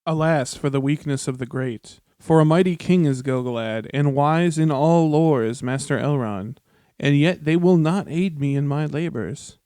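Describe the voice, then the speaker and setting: A man voicing a grand, aggrieved fantasy deceiver in a formal, measured dramatic reading. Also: fairly high pitch, dark tone, clean tone, no room sound, studio quality, natural voice. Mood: feigned lament.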